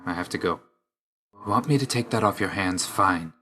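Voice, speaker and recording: An actor playing an aloof, weary young god of death in a video game, speaking in a restrained, clipped and solemn way. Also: male voice, low voice, normal speed, pushed and bright. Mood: reserved, grudging.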